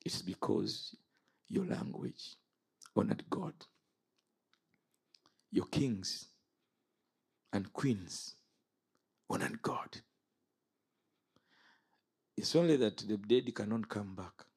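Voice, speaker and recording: A man in his fifties, originally from Uganda, preaching a fiery sermon. His voice is gravelly and a little husky. He swoops between low, steady statements and sudden high, forceful outbursts. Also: extremely slow, long pauses. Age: 50s. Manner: impassioned, rises and falls sharply.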